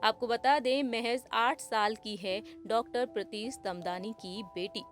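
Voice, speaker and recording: Woman presenting scripted entertainment news. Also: mid-range voice.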